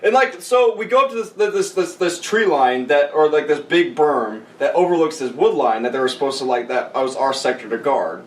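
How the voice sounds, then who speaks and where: A man's voice: on the high side, normal speed, hardly any pauses. A man casually telling a sweary, rambling story among friends, mimicking other people's dialogue as he goes. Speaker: podcast co-host and army veteran telling a story. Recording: roomy echo, distant mic, faint noise.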